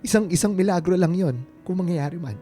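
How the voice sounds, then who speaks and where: An actor playing a devoted, vulnerable man in a Filipino radio romance drama, speaking with a halting, earnest, emotional delivery and a Filipino accent. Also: high-pitched, warm tone, soft background music, lightly acted. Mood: pained, confessing. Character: devoted, vulnerable man confessing love to his reunited sweetheart.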